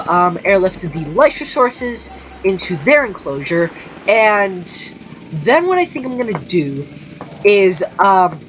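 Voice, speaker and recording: Stuffed-up, nasal woman narrating slowly. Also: lowish voice. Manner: hesitant, many ums and restarts. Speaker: gameplay video creator. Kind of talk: casual gameplay commentary. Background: faint background music.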